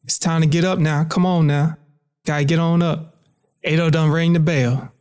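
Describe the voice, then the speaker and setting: A man's voice: a little high, steady tone, normal speed, darkish tone, close to an everyday voice. A man playing an enslaved young man with a Southern US accent, telling of plantation life in a plainspoken, weary, folksy dialect in a dramatic narration. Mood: gentle urging. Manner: folksy, storytelling. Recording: music under the voice.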